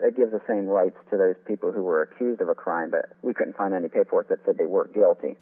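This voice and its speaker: A man's voice: sits low, steady tone, fast, breathy edge. A man being interviewed as an author and historian, giving detailed, wordy explanations that tend to ramble. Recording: tinny phone-like line.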